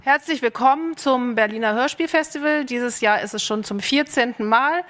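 A woman with a fairly high voice moderates a live panel on a festival stage. She talks in a friendly way, with some hesitations and fillers as she introduces the guests. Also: moderate pace, a bit bright.

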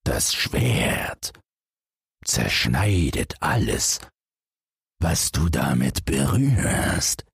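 A lumbering storybook giant, acted out by a man, very slow and very husky. He is gullible and cowardly, dragging out his words in a ponderous, plaintive way. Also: extremely low pitch, sing-song, no echo, clean studio sound, exaggerated voice. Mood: reluctant, grave. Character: big cowardly, gullible three-headed male giant. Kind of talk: storybook character voice.

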